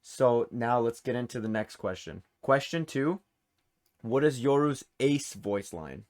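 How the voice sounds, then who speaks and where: A man's voice: mid-range voice, animated, unhurried, a little mellow. A man with a mid-range voice acts as quiz host. He talks informally and casually, reading out questions and multiple-choice options as he goes. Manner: informal, reads questions and answer options aloud. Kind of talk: hosting a game trivia quiz in casual chat.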